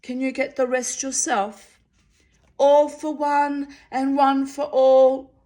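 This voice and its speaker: Woman doing a high, shaky, earnest sentry. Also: level tone, takes it slowly, mellow. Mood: obliging. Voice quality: high, trembling. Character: thin-voiced nervous male sentry.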